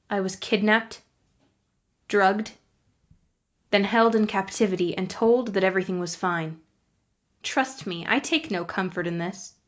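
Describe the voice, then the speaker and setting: A woman's voice: mid-range voice, a bit bright. Solemn, vengeful blind woman played by an actress. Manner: solemn, deliberate declarations. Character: blinded vengeful woman who controls light and dark. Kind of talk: audio drama acting.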